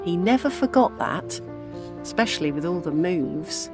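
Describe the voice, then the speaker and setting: Wistful older widowed mother played by an actress, lively-voiced. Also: on the low side, normal speed, over music, lightly acted. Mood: wistful, tender. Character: grieving widowed mother, wistful, gently scheming matchmaker. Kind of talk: audio drama acting.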